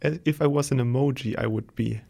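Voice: mid-range voice, steady tone, fast talker, creaky, warm tone. A podcast host asking short prompt questions in a casual interview and giving brief encouraging replies.

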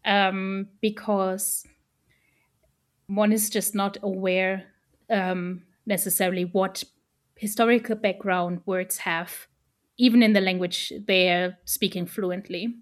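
A woman's voice: medium pitch, steady tone, slow pace. A woman whose first language is German, speaking in English as an interview guest about her research, thoughtful and full of ums and restarts. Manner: thoughtful, many fillers and restarts. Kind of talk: casual academic interview.

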